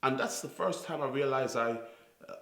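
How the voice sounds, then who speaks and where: A man in his forties from Trinidad, with a Trinidadian accent softened by years in New York, earnestly telling his life story and often restarting his phrases. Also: medium pitch. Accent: Trinidadian with New York influence. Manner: earnest, halting, with repeated restarts. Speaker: youth theatre founder and director. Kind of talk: reflective personal storytelling to camera. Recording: echoey room.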